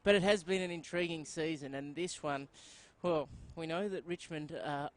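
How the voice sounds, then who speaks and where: An Australian man co-commentating football, with a fairly high voice for a man. He banters with the host and previews the match at a measured pace. Faint noise sits in the background.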